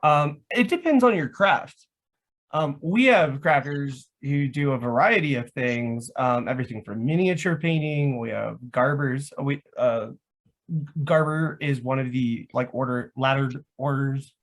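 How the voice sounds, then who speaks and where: A man's voice: medium pitch, a little slow. A man talking casually and enthusiastically as a guest about his hobby, rambling and saying "like" often. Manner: enthusiastic, rambling, frequent "like" as filler. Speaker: guest, LARP foam crafter.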